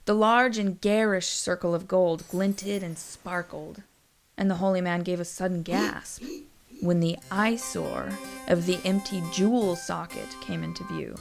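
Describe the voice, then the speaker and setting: A woman's voice: fairly low pitch, lively intonation, measured pace, faint music behind. A woman narrating a scripted story in a wry, dramatic way.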